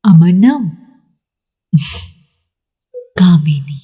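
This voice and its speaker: A woman's voice: lowish voice, expressive, drawn-out words, dark tone, slightly rough, soft background music, heavily acted. A woman playing a mysterious, alluring young village woman in a ghost story, coaxing and homely in manner. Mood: soft, inviting. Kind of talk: dramatised Bengali ghost story.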